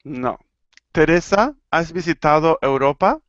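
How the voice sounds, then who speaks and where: Argentine-raised male teacher running a playful online class; smooth, bouncy voice over a dull, dry call line. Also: fairly high pitch, animated, fairly bright tone, dry recording. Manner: playful, laughing, slow and clear when teaching. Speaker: Spanish teacher. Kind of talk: live online language class.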